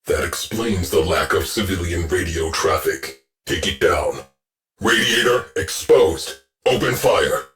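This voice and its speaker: A stern shipboard AI officer in a video game, all clipped commands and dry deadpan remarks. His voice sits very low and unhurried, with faint music underneath. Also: exaggerated voice. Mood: terse. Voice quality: clipped, deadpan military delivery. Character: stern male ship AI officer, terse, dry. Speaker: ship's AI officer.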